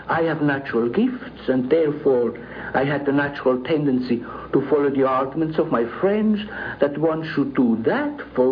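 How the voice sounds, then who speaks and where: A man speaking formally and deliberately as a documentary contributor, on a muffled, noisy 1950s radio recording. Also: on the high side. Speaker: interviewed friend giving recollections. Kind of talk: measured spoken reminiscence and letter reading.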